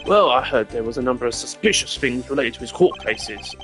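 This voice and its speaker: A man's voice: mid-range voice, lively intonation, fairly quick, close to an everyday voice. A man voicing an earnest, deferential police detective in a video game, eager and informal. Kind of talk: amateur video game voice-over. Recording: loud music under the voice.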